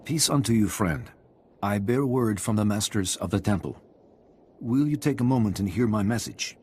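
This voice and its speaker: Game casting: male actor as polite ceremonial messenger, low register, deferential, slight ambient noise. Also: expressive, moderate pace, a little mellow, natural voice. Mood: courteous. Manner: formal, courteous. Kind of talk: video game dialogue.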